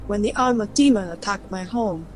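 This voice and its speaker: A woman playing a solemn young witch in an audio drama, measured and formal. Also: medium pitch, a little mellow, faint music behind, close to an everyday voice, poor audio.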